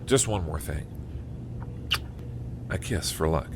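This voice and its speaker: A man's voice: a bass voice, animated, slow, full character voice. A man playing a devoted, anxious husband who narrates his own story in an earnest audio drama style. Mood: tender, encouraging. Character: devoted husband of an ailing wife, anxious, first-person narrator. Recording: clearly noisy audio drama track.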